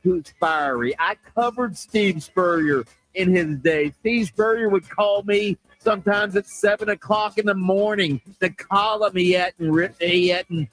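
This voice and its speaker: A man with a high voice, telling an emphatic story as a sports columnist. Faint music plays behind him, and the recording sounds dry. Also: a little slow, few pauses, a bit bright, no room sound, rough recording.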